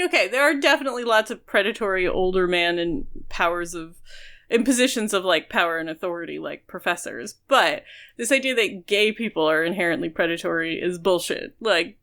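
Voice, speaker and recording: A woman's voice: on the low side, fairly bright tone. A woman co-hosting a podcast, chatting casually and emphatically about a book, with informal phrasing and lots of "like". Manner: informal, emphatic, peppered with "like" and mild swearing. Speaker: podcast co-host. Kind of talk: casual book discussion chat.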